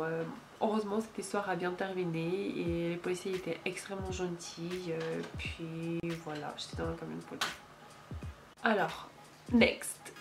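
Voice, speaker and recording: A woman's voice: fairly low pitch, creaky. Chatty Ukrainian-accented woman vlogger. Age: late 20s. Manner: chatty, many fillers and small grammar slips. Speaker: YouTuber. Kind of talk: casual Q&A vlog.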